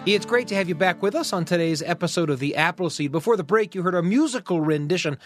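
A man's voice: high voice, expressive, fast, no room sound, faint music behind. A man hosting a radio show in an enthusiastic, welcoming way, moving between scripted links and friendly conversation.

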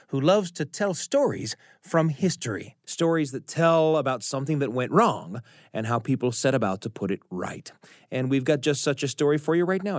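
A man's voice: fairly high pitch, dry recording, studio quality. A man hosting a radio story hour, reading a scripted introduction and stretching out key words for emphasis. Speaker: radio show host.

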